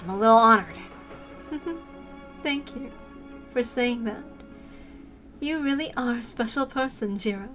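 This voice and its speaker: A woman voicing a delirious, self-deluded villainess in a visual novel, coy, exalted and deliberate. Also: fairly high pitch, a bit bright, faint music behind. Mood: coy, calm.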